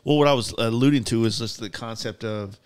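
A man's voice: mid-range voice, brisk, smooth. A man of about forty hosting a casual podcast chat, swearing freely and rambling as he interrupts himself, close on a podcast mic. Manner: profane, rambling, self-interrupting. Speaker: podcast host. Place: garage workshop, indoors. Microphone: podcast microphone on boom arm, close.

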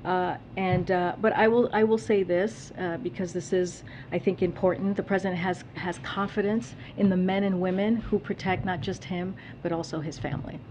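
A woman speaks from a podium in a briefing room, fielding questions in a measured, firm way. She often restarts her phrases. A faint hiss sits under the podium microphone. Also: on the low side, fairly even intonation. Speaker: press secretary. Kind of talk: press briefing answers.

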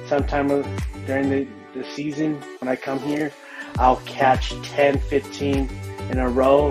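A relaxed man talking through his outing as he fishes, unhurried and full of little "you know"s. His voice sits at an ordinary middle pitch. It has the open sound of a riverbank, caught by a camera worn on his body, with music playing underneath. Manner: relaxed, explanatory, with fillers. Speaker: fishing vlogger. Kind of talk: casual outdoor narration. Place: snowy riverbank outdoors.

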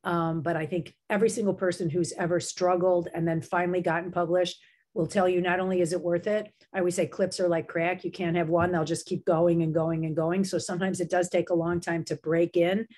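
A woman's voice: fairly low pitch, little pitch movement, a little fast, a little mellow. A middle-aged woman with a slight sore throat, a writing professor chatting enthusiastically as a guest on a video call, with many fillers and restarts. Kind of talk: casual video-call interview.

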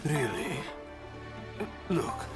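An actor playing a grave, guarded samurai rebel leader in a video game cutscene. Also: male voice, medium pitch, animated, drawn-out words. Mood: grave, skeptical. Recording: clear background music.

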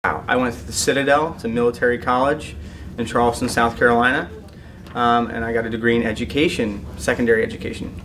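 A young American man answers interview questions as a high school teacher. He talks quickly at an average pitch, with loud music behind him. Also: fairly even intonation. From New Jersey, United States. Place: dim school room, seated.

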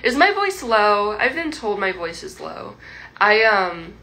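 A woman's voice: medium pitch, big pitch swings, a little slow, pushed and bright, low-quality recording. A young woman livestreaming from home, chatting to fans in a rambling, laughing way full of likes and restarts. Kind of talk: casual chat with viewers. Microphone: phone livestream.

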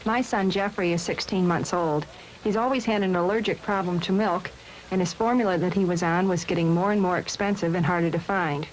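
An American woman with a slightly low voice gives a plain testimonial for a radio ad. The seventies tape has faint noise. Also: fairly quick. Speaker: customer giving a testimonial. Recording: faintly noisy 1970s radio cassette.

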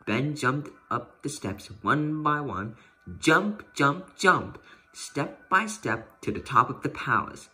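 A man's voice: a little high, lively intonation, slow pace, pushed and bright, soft background music. A man reading a children's storybook aloud as narrator. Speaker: storybook reader.

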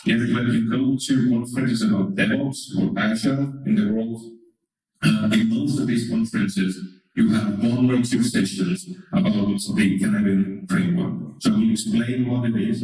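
A man in his thirties, from Estonia, speaks with an Estonian accent in a fairly low voice. He talks into a handheld mic, his words booming through a big hall and picked up from well back in the room. His delivery is relaxed and wry, and he often checks in with "right?". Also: moderate pace. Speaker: conference speaker. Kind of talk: conference keynote talk. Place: large conference hall, indoors. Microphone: handheld microphone through hall speakers. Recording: echoey hall, from far off.

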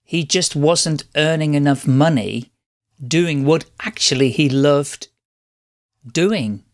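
A man hosting a podcast, welcoming his guest and asking questions in an upbeat, encouraging way. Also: on the high side. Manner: upbeat, encouraging, quick acknowledgements. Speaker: podcast host. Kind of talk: podcast interview.

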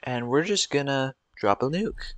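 A man's voice: mid-range voice, animated, a little slow, fairly bright tone, no room sound. A young man giving rambling, casual commentary over gameplay, with frequent fillers and restarts. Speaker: gameplay video creator.

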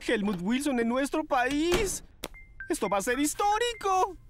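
High-voiced flamboyant agency boss. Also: male voice, very animated, unhurried, music in the background, heavily acted. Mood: excited, self-important. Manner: theatrical, gushing. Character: flamboyant, excitable young modelling agency boss. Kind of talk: animated series dubbing.

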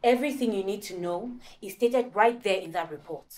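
A woman's voice: medium pitch, brisk, darkish tone, natural voice. A woman acting in a film drama as a distressed, serious young woman, earnest and troubled. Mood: indignant.

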